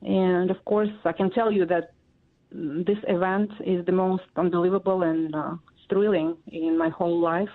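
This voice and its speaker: A woman in her forties from Russia, speaking English with a Russian accent as an interview guest, halting and full of fillers. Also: fairly low pitch, fairly even intonation. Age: 40s. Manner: halting, many fillers and pauses. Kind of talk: interview answers. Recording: tinny phone line.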